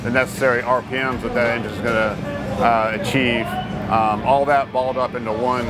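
A man's voice: mid-range voice, fairly even intonation, moderate pace. A man in his forties from a race team talking about engine testing, casual and hesitant with many fillers. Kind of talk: promotional interview. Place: indoor race shop with bike behind. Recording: loud music behind.

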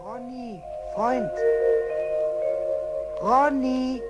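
A man voicing an earnest, friendly young male lion in a children's radio play, speaking in simple, halting words. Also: extremely high pitch, loud music behind the voice, full character voice. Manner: simple, halting human words, slowly spoken.

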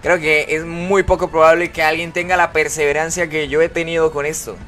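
A fairly high-voiced man running a live gaming stream, talking casually and warmly to his viewers and reading their messages out loud. Faint music plays quietly under his voice. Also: level tone, fairly quick. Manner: chatty, greets viewers and reads chat aloud. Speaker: live streamer playing with followers.

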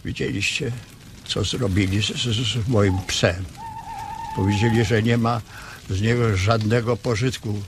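A man's voice: fairly low pitch, fairly even intonation. An actor in a radio drama playing a lonely, timid old ranch hand who stammers and hesitates as he pleads. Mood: sorrowful. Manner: halting, stammering, full of hesitations. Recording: noisy ambience behind the voice.

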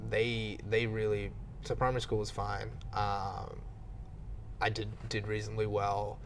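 A young man in his late twenties, talking openly on a podcast with a gravelly, fry-edged voice that sits fairly low. He speaks frankly, with easy self-mocking humor. A faint ambient hiss hangs behind him. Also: level tone. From Australia. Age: 20s. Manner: candid, quick to laugh at himself. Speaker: podcast guest, gym owner. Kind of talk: casual podcast chat.

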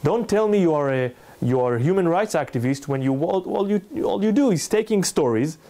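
A man in his thirties with an Israeli accent, lecturing in halting but emphatic non-native English. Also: on the high side, animated, mellow. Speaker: lecturer, NGO researcher. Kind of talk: public lecture with slides. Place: indoor salon room, speaking to a small audience.

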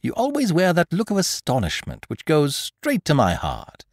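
Man playing a theatrical, teasing gentleman thief, wildly swooping. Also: fairly high pitch, huge pitch swings, normal speed, no echo, studio quality, voice pushed up, full character voice. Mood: taunting. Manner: mocking, theatrical, peppered with rhetorical questions. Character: gentleman thief, teasing, exuberant, boastful, playfully condescending to youngster. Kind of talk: dramatised audiobook character dialogue.

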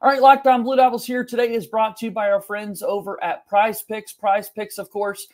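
A man's voice: high-pitched, level tone, moderate pace, darkish tone. A man in his thirties hosting a sports podcast, setting up questions and segments. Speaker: podcast host. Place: home room indoors, video call.

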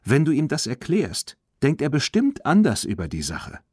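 A man voicing a spirited, kind young witch girl in an audiobook, lively and direct. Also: fairly high pitch, moderate pace, breathy edge, no echo, clean studio sound, pitched above the performer's own voice, heavily acted. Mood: urging.

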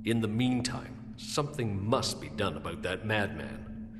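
Proud, obsessive scientist, swooping intonation. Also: male voice, on the low side, animated, unhurried, background noise. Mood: cold, resolute. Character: proud, obsessive male research scientist, conspiratorial villain. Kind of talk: video game cutscene acting. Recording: long reverb.

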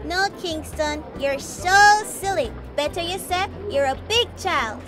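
A little Jamaican girl puppet, very high-pitched and sure of herself. She explains like a small teacher, with music playing behind her in a children's show. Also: unhurried. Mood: confident, teasing. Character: young Jamaican girl puppet, confident little know-it-all.

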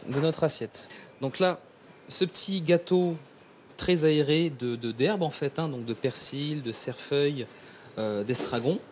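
A man, a chef explaining his work haltingly in an interview. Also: fairly high pitch, slight background noise. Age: 30s. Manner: halting, searching for words. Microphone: lapel mic.

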